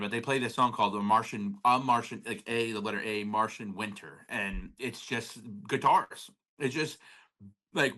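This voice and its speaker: Hombre de voz brillante y charla relajada. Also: male voice, medium pitch, sharp tone. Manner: rambling, slangy, calls partner baby. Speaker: podcast co-host. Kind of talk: casual chat.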